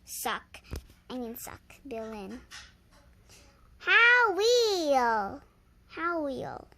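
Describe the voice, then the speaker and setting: A young storyteller reading aloud haltingly, sounding out and repeating words, recorded on a handheld phone. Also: sits high, big pitch swings, bright, forceful tone. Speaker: reader and channel host.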